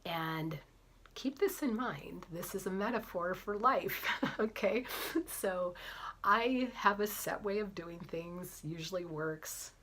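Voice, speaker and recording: A middle-aged woman with a cold, chatting to camera as she tells a story, often dropping into soft whispered asides. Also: on the low side, takes it slowly. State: has a cold. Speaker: vlogger, physician assistant and fitness coach.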